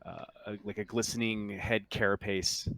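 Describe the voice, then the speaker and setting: A man's voice: fairly low pitch, fairly even intonation, normal speed, vocal fry, sharp tone, no room sound. A man running a tabletop horror game, narrating off the cuff and searching for words as he describes the scene. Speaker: game master.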